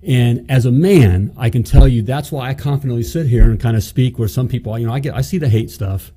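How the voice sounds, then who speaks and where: A man's voice: mid-range voice, fairly quick, warm tone, husky. A middle-aged man hosting a motivational business show in an indoor studio, talking emphatically into a studio mic on a stand and often checking in with "okay?" and "you know". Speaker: host.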